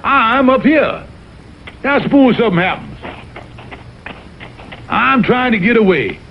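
A slick Southern cartoon fox schemer, high-pitched and swooping in pitch. He blusters and wheedles in old-time TV style. The sound is dull, with music. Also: animated, a little slow, vocal fry, a little hiss or hum, heavily acted. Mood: persuasive. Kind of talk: 1960s TV cartoon voice acting. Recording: dull vintage TV audio with music.

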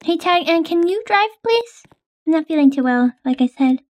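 A woman's voice: very high-pitched, lively intonation, normal speed. A young woman hosting and narrating a game roleplay in a casual, hesitant way with many ums.

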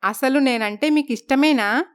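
Lady doing a hurt young wife, pleading and reproachful, clear higher voice. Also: a little slow. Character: wronged young first wife, anxious.

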